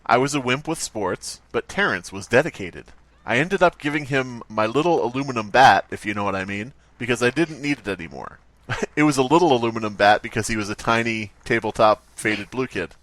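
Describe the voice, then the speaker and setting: A man's voice: mid-range voice, lively intonation, brisk, fairly bright tone, no echo. A man hosting a podcast in casual comedic chat, with a wry manner and some casual swearing. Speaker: podcast host. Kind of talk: casual comedic chat, reading stories aloud.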